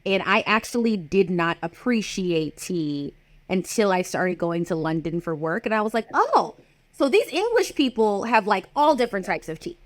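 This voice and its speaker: A woman's voice: medium pitch, expressive, normal speed, no room sound. A woman hosting a casual interview over a video call, upbeat and emphatic, putting direct questions to her guest. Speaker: podcast host.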